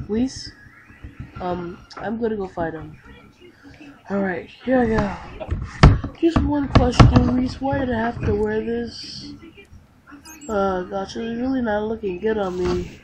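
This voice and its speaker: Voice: mid-range voice, slow, pauses often, very noisy. A gamer improvising an anime roleplay over video gameplay, acting out dialogue and calling out attacks.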